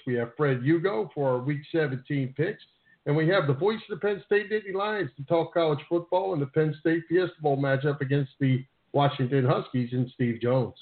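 A man's voice: fairly high pitch, phone-quality sound. A man hosting a sports radio show, introducing guests and asking questions conversationally.

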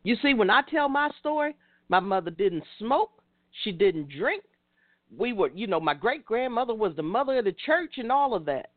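A woman's voice: a little high, sing-song, normal speed, dry recording, phone-quality sound. A woman in her fifties hosting a call-in talk show, speaking in a preachy way and addressing listeners directly. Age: 50s. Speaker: radio show host.

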